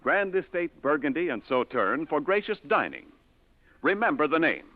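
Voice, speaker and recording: Male 1940s radio pitchman, polished and emphatic, highly animated intonation, dry sound. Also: normal speed, no echo. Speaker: commercial announcer. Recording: dry, narrow vintage radio broadcast.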